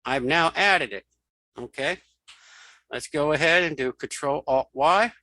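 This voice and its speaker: Gravelly, bright-voiced man giving a tutorial. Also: a little high, measured pace, no room sound. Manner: informal, talks through each keypress. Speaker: screen reader tutorial instructor. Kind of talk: casual step-by-step software demonstration, thinking aloud.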